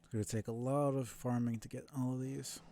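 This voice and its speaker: A man's voice: medium pitch, steady tone, brisk, mellow. A man giving casual live commentary on a video game, muttering in a laid-back, mildly grumbling way. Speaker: game streamer.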